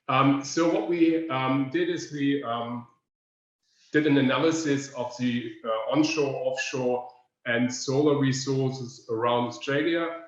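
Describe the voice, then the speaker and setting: Man, German accent, webinar presenter, frequent fillers, echoey lo-fi sound. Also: mid-range voice. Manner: thoughtful, pausing with frequent fillers. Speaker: research presenter. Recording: roomy, muffled lo-fi sound.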